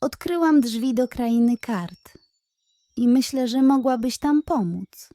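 Woman as tiny magical doll girl, storybook character, affectionate, earnest, excitable, raised pitch, soft-edged tone. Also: takes it slowly, dry recording, clean studio sound. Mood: excited.

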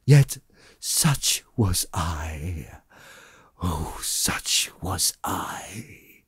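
A man voicing the anguished, remorseful ghost of a dead businessman in a slow, mournful lament for an audiobook. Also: low-pitched, lively intonation, slightly rough, dry recording, clean studio sound, pitched below the performer's own voice, exaggerated voice.

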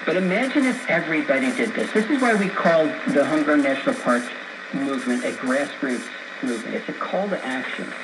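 A man's voice: a little high, lively intonation, a little fast. A man explaining things in an interview as an expert, received over shortwave radio. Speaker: ecologist interviewee. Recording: very noisy shortwave radio reception.

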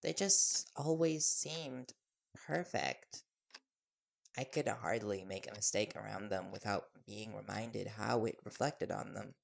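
A man's voice: fairly low pitch, a little slow, vocal fry, exaggerated voice. A man playing an earnest, nervous young reporter boyfriend in an intimate audio roleplay, hesitant and deferential. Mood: reflective, hurt.

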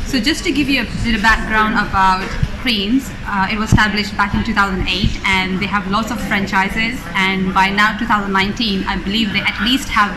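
A woman's voice: medium pitch, steady tone, fairly quick, few pauses, background noise. A young adult woman vlogging a casual food review in a cafe, chatty and full of fillers. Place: indoor cafe booth.

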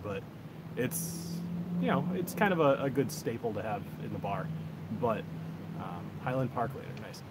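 A laid-back man in his thirties hosts a casual livestream from a backyard deck. He rambles along in a mid-pitched voice, picked up by a phone with clear outdoor noise around him. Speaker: whiskey reviewer, livestream host. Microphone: cell phone on a tripod. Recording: noisy outdoor phone recording.

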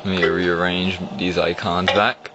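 Young man, deep voice, rambling hobbyist walkthrough, muffled phone audio with steady hum. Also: measured pace. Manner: rambling, restarts, explains as he clicks. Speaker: vlogger showing an old computer. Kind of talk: casual off-the-cuff screen walkthrough. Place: indoors at a home computer desk. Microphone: phone camera held at the screen.

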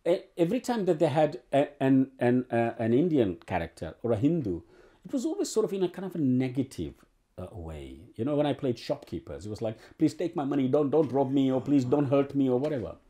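A man's voice: medium pitch, sing-song, fairly quick, mellow. A man in his sixties from Uganda, an actor telling his story in an oral history interview in a living room, rambling with many restarts and fillers. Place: living room with bookshelves and sofa.

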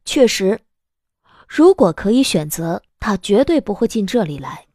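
A woman's voice: medium pitch, very animated, unhurried, dark tone, dry recording, studio quality. A woman narrating a scripted audiobook story as the narrator of a drama.

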